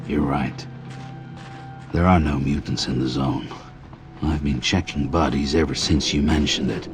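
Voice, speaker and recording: An actor voicing a gruff, stoic mutant boar man, blunt and terse, in a video game. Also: fairly even intonation, measured pace. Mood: grim, gruff. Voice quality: very deep, gruff. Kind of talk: video game character dialogue. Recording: voice under game music.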